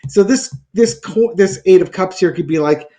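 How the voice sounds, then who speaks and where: A man with a high voice lays out a tarot reading in a relaxed talk-show chat. He thinks aloud as he goes, full of fillers and restarts. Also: normal speed, a little hiss or hum. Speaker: tarot reader.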